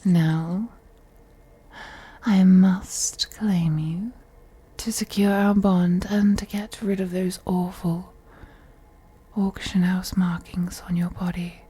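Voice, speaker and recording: A woman's voice: fairly low pitch, fairly even intonation, dark tone, heavily acted. A woman playing a wealthy, possessive female vampire in an intimate audio roleplay, speaking in a whispery, teasing, condescending way. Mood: possessive, sultry. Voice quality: breathy, whispery. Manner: slow, purring, condescending, deliberate.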